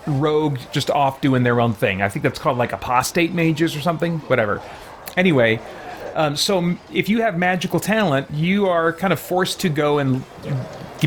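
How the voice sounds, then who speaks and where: A man's voice: a little high, slight background noise. A man giving an informal, rambling explanation to a live stream chat, digressing as he goes. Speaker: game livestreamer.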